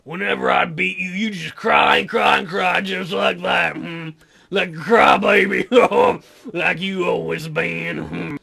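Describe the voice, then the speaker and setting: A stubborn, wounded teenage boy from a dating game, acted out by a man. The voice is strained and very gravelly, pitched high for a male, and speaks slowly. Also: expressive, pushed and bright, full character voice. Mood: teasing. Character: stubborn, hurt teenage boy, childhood friend. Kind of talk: reading visual novel dialogue aloud.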